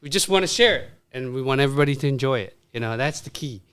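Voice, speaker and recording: A man's voice: medium pitch, animated, quick pace, fairly bright tone, clean tone. A man in his fifties from Vietnam, a guest on a casual podcast, talking earnestly and often saying "you know.". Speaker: podcast guest, dentist and restaurant owner.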